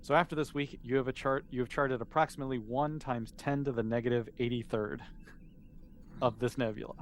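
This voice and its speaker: A man speaks as a game master in a mid-pitched voice. He narrates and explains in a loose, patient, conversational way, improvising as he goes. There is some noise on the recording. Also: steady tone, brisk. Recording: clearly noisy background.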